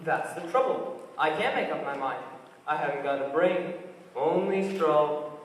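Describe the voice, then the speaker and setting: A man's voice: fairly high pitch, lively intonation, a little slow. A young man on stage playing a friendly, hapless, brainless scarecrow in an amateur musical. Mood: hapless, chatty. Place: school stage, recorded from the audience area. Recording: echoey hall from far off, music behind.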